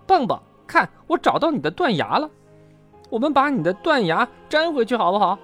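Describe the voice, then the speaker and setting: A storyteller voicing an excitable, caring young animal in a children's storybook, eager and exclaiming. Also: mid-range voice, fairly quick, slightly rough, faint music behind, pitched above the performer's own voice, heavily acted. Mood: eager, cheerful.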